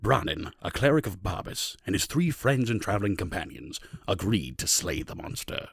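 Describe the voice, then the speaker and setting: A man's voice: deep voice, very animated, moderate pace, creaky, slightly rough, no room sound. A man narrating a scripted fantasy story as the narrator of an audio drama.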